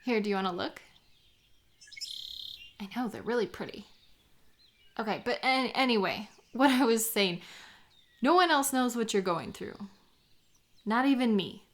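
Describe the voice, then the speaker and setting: A woman playing a supportive, affectionate best friend in a comfort audio roleplay, speaking gently and sincerely in an intimate, conversational way. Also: on the high side, expressive. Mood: casual, curious. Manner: gentle, sincere, encouraging, unhurried.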